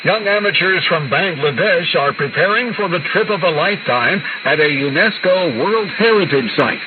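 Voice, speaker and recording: A man's voice: a little high, expressive, moderate pace. A man reading a scripted link as a newsreader, heard over shortwave radio. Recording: hissy narrow-band shortwave radio reception. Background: shortwave hiss and static.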